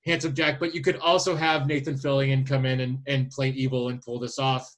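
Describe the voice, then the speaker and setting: A man co-hosting a podcast, talking casually and haltingly as he thinks his way through his picks aloud. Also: mid-range voice, flat delivery. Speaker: podcast host. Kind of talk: casual chat, presenting a list of casting picks.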